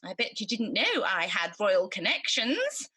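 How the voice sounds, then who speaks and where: A woman's voice: mid-range voice, huge pitch swings, measured pace, bright, forceful tone, slightly rough, full character voice. A woman playing a good magical fairy in a radio pantomime, theatrical, chatty and playfully smug. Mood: smug, boastful.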